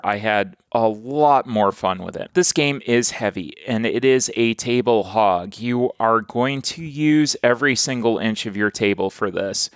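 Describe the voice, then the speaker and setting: Male podcast host, mid-pitched and smooth, steady conversational reviewer, dry close recording. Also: dry recording. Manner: steady explanatory monologue, plain and conversational. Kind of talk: solo board game review podcast.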